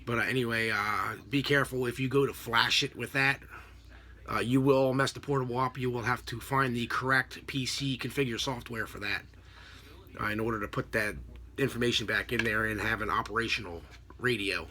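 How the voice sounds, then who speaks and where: A middle-aged American man talks casually into a computer webcam in a room at home. His voice is bright and forward, at a middling pitch. He explains things off the cuff, halting and rambling as he goes. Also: steady tone. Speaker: vlogger, radio scanner hobbyist. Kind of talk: casual unscripted explanation to camera. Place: small home room with a desk, indoors. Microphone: computer webcam at desk distance.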